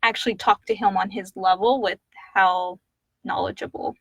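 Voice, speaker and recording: Female co-host, medium pitch, conversational delivery, very little room sound. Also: steady tone, moderate pace, slightly rough, no echo. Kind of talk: casual live Q&A chat.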